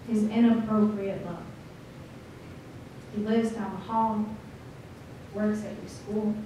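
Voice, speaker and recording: A woman performing slam poetry, speaking deliberately with dramatic phrasing and pauses. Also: medium pitch, a little mellow, distant mic. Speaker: slam poet. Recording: echoey room from afar, faint noise.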